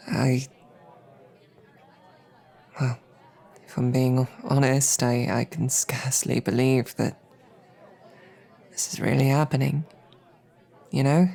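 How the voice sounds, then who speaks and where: A woman playing a bashful, smitten knight and royal guard in an intimate audio roleplay, speaking haltingly with flustered, self-deprecating rambling. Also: extremely low pitch, gravelly, warm tone, heavily acted. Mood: smitten, amused.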